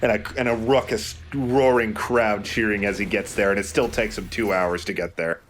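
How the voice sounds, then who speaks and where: Male let's player, lowish voice, casual and explanatory with a sarcastic edge, game noise behind. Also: fairly even intonation, normal speed. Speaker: let's play host and player. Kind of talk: casual gaming commentary. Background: video game ambience.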